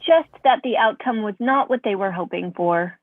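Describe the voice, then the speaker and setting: A woman's voice: mid-range voice, normal speed. A woman playing a polite, literal robot assistant in a science fiction drama reading, speaking formally and precisely through a thin phone-like sound. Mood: factual, formal.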